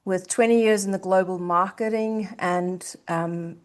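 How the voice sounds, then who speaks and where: A woman's voice: lowish voice, fairly even intonation, unhurried. A woman hosting a podcast, gushing and effusive, with lots of hesitant fillers. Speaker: podcast host. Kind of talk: casual podcast interview.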